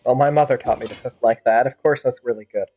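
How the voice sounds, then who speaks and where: A woman's voice: a bass voice, fast talker, warm tone, slightly rough. A woman game streamer improvising wry, teasing commentary and joking replies to the characters. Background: game music.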